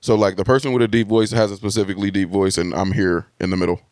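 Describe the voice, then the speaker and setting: A man's voice: level tone, fast, darkish tone, clean tone, no room sound, studio quality. A man co-hosting a podcast, chatting casually and laid-back about everyday topics. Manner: laid-back, says others call his voice deep, hears it as mid. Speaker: podcast co-host. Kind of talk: casual chat.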